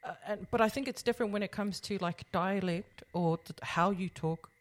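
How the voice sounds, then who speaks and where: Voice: medium pitch, moderate pace. A podcast co-host arguing earnestly in a casual debate, backing points with personal experience. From Pacific Islander community. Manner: earnest, explains with personal examples.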